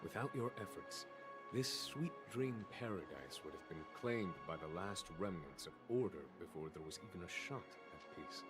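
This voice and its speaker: A genial video game general with a low, animated voice. He is measured, formal and diplomatic, with a wry touch. Music runs clearly underneath. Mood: amused, hearty. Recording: music behind the voice.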